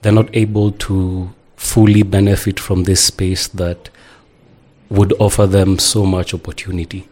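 A man hosts a podcast interview. His voice is very low, and his questions come calm and measured. He backs up his guest often with short words of agreement. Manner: affirming, calm questioner with many backchannels. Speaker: host.

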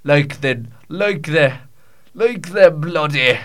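A man's voice: on the high side, animated, slow. A man putting on a pompous, rambling Scottish storyteller. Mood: grandiose, rambling. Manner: mock-solemn, archaic phrasing, stumbling and repeating. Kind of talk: improvised comic monologue in character.

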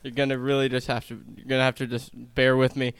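A twenty-year-old man with a pronounced lisp, chatting casually and swearing freely as a podcast host, in an animated, self-deprecating way. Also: medium pitch, fast talker, clear, resonant tone. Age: 20, turning 21 soon. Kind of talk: casual chat.

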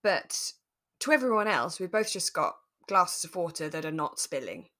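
A woman co-hosting a podcast, explaining things step by step in a relaxed, conversational way. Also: lowish voice, normal speed. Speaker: podcast co-host.

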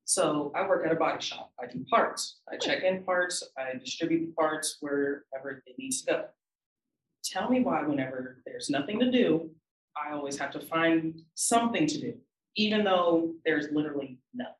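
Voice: medium pitch, expressive, moderate pace, distant mic. Young adult telling a wry story, off-mic. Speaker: student panelist. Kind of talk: casual storytelling. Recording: roomy, from off-mic.

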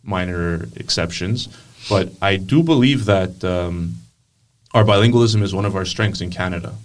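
A man's voice: low-pitched, moderate pace, distant mic. A man speaking as a student guest on a podcast, earnest and firm in his opinions. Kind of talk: conversational interview.

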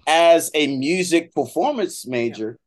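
A man's voice: on the high side, animated, measured pace. A middle-aged man with an American accent talking conversationally as an interview guest, often repeating and rephrasing as he goes. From Miami, United States. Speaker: guest, trombone professor.

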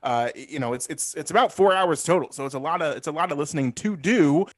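A man's voice: fairly high pitch, animated, quick pace. A man co-hosting a sports podcast, talking loosely and correcting himself as he goes. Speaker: podcast co-host. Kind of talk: casual sports podcast chat.

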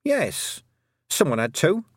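A man's voice: mid-range voice, very animated, brisk, no echo, studio quality, pitched above the performer's own voice. A man voicing an earnest, stubborn and anxious young girl who hesitates, then blurts things out, in an audiobook. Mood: indignant. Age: child. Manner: hesitant openings, then breathless rush of detail. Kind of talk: audiobook character dialogue.

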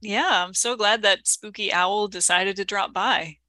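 A woman's voice: medium pitch, measured pace, sharp tone, dry recording. A woman co-hosting an educational podcast, chatting casually as an educator.

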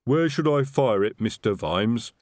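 A man's voice: mid-range voice, expressive, unhurried, darkish tone, dry recording, natural voice. Big troll guard, played by a man: cheerful, simple, obliging, dry close storybook recording.

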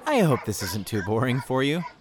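A man's voice: medium pitch, sing-song, moderate pace, very noisy, close to an everyday voice. An actor playing an earnest, lovestruck young man in an audio drama, a little hesitant and awkward. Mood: easygoing. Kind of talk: naturalistic audio drama acting.

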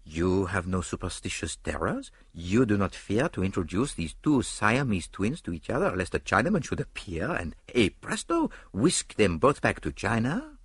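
A man plays a courtly foreign detective from an audiobook mystery. He speaks with a French accent, dry and deliberate, with a mocking edge and very animated pitch in a close, dry recording. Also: breathy edge, no echo, full character voice.

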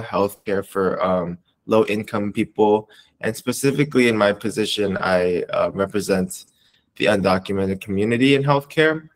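A man in his twenties with an American accent, answering interview questions casually in a hesitant, halting way with many pauses. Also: low voice, rough recording. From Southern California, United States. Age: 20s. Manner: hesitant, halting, pausing often between short phrases. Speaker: interviewee, community advocate.

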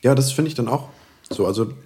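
A man's voice: mid-range voice, brisk, warm tone. A man, a guest in casual podcast banter, talking in a playful, wry, colloquial way.

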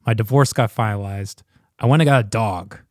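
A man's voice: lowish voice, a little fast, a little mellow, dry recording, studio quality. A man chatting casually on a podcast in a candid, self-mocking way with plenty of swearing.

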